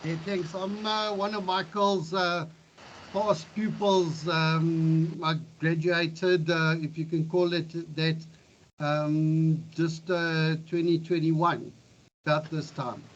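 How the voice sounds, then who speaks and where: A man's voice: a little high, flat delivery. A man chatting casually and hesitantly as a former student on an internet class call. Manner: hesitant, halting. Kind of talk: casual chat. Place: online video call. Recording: quiet, dull, noisy internet call.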